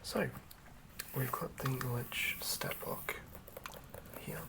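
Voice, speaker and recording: An ASMR host speaking in a soft, breathy whisper, gently and quietly sharing tabletop fantasy material. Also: mid-range voice, huge pitch swings, very slow, low-quality recording. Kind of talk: whispered ASMR, chatting and reading aloud from a game manual.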